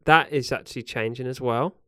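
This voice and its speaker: A man's voice: mid-range voice, brisk, fairly bright tone, dry recording, studio quality. Rambling man co-hosting a podcast. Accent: New Zealand. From New Zealand. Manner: rambling, self-correcting, laughs often. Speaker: podcast co-host. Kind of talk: casual chat. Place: home recording setup. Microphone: podcast mic.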